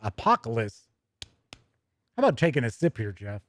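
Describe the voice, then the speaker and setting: A man's voice: medium pitch, sing-song, moderate pace, a bit bright. A man hosting a tabletop gaming news show, mixing emphatic reading of copy with chatty, self-teasing asides. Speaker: host.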